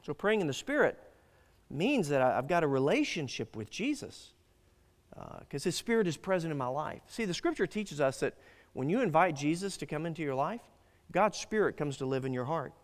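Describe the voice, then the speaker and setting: A male pastor preaches in an earnest, emphatic way, his voice sitting high and swinging widely up and down. He often stops and restarts a phrase. The sound carries a long reverb, as in a big church sanctuary. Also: big pitch swings, a little fast. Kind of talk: sermon.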